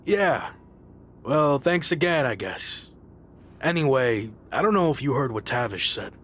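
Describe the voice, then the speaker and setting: A man's voice: medium pitch, lively intonation, unhurried, a bit bright, slight background noise. A man playing a determined pro wrestler in a video game cutscene, dry and understated in manner. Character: determined, dry-witted male pro wrestler leading a team.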